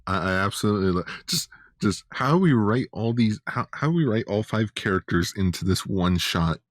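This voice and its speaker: A man's voice: fairly low pitch, lively intonation, normal speed, no room sound, studio quality. A man co-hosting a podcast, chatting casually about a TV show. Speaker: podcast host.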